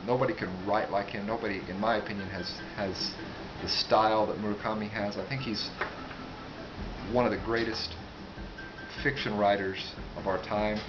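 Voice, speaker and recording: A man giving a casual, unscripted book review, rambling and halting, with asides that trail off. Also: fairly low pitch, fairly even intonation, background noise. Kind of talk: casual, unscripted talk to camera. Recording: dull sound with music behind. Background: music.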